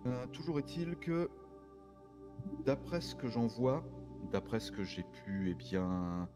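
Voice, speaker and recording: A man playing a formal, halting investigator, music behind. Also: mid-range voice, big pitch swings. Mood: careful, grave. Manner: measured, halting, formal phrasing. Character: male investigator, formal, methodical, hesitant and tactful. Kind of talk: improvised video game roleplay dialogue. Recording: music over the voice.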